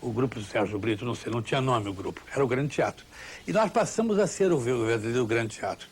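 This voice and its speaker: A man's voice: medium pitch, expressive, quick pace, husky. A man reminiscing in an interview as a veteran actor, emphatic and repeating his phrases for weight.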